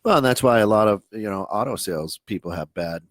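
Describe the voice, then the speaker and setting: A man's voice: on the low side, expressive, quick pace, no echo, studio quality. A man with a Midwestern American accent co-hosting a business podcast, talking in a loose, chatty way with many restarts. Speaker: podcast co-host. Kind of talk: casual business chat. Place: studio.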